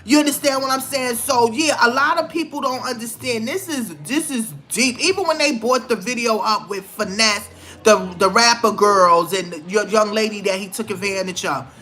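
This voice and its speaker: A woman's voice: a little high, normal speed, bright, forceful tone, soft background music. A middle-aged woman giving casual, opinionated commentary in a conversational, emphatic way, repeating phrases for stress. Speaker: vlogger.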